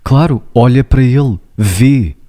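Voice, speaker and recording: A man's voice: medium pitch, unhurried, dark tone. A young man with a European Portuguese accent, co-hosting a podcast, explaining ideas earnestly and talking straight to listeners. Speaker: podcast co-host, psychologist. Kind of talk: casual podcast chat.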